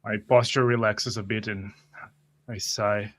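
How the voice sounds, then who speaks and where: Tabletop player: man, unhurried and flat in delivery, describing character actions. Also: fairly low pitch.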